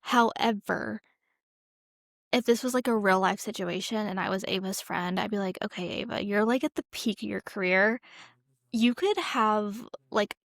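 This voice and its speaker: A woman's voice: mid-range voice, normal speed, gravelly, no echo, studio quality. A woman co-hosting a podcast, chatting casually and retelling things with acted-out reactions and lots of "like". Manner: animated retelling with quoted reactions, frequent "like". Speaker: podcast co-host. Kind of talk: casual chat about a TV show.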